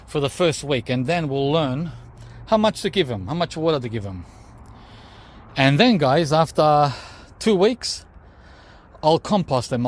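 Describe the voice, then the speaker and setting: A man whose first language is Greek, hosting a gardening vlog outdoors, tired after digging, explaining each step and calling viewers guys. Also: on the high side. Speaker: gardening channel host. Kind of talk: casual vlog talk to camera.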